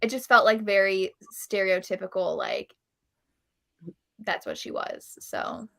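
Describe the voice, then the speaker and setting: A woman with heavy vocal fry and a bright, sharp tone at a middle pitch. She talks informally as one of a panel discussing books, in an everyday conversational style. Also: a little slow. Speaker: book club panelist. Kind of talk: casual book chat on a live show.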